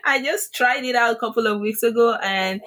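A woman's voice: a little high, a little fast, fairly bright tone. A woman with a Nigerian accent, answering interview questions hesitantly with many fillers. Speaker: guest, online seller.

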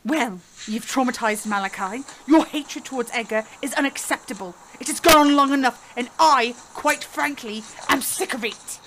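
A woman's voice: high-pitched, normal speed, few pauses, natural voice. A woman playing a firm, protective queen and mother, formal, earnest and indignant, in an amateur fantasy audio drama. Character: firm, protective queen pleading for her son. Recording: noticeable background noise.

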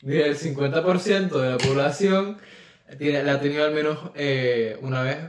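A man with a Venezuelan accent rambles through a casual podcast chat, laughing and restarting his sentences, his pitch a little high for a man. He sits back from the mic in an echoey room with a faint hiss behind him. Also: normal speed, recorded from a distance. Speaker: podcast co-host.

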